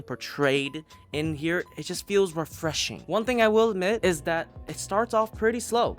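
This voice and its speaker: A man's voice: fairly high pitch, fairly bright tone. A man giving a casual, profanity-laced review in an emphatic, rambling, irreverent manner. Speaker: podcast reviewer.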